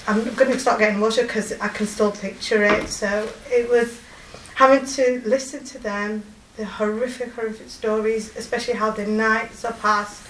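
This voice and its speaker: A woman in her forties with a strong Yorkshire accent, telling of her volunteer work in a halting, emotional way with many pauses and fillers. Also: a little high, reverberant, recorded from a distance, slight background noise, rough recording. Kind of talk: personal testimony.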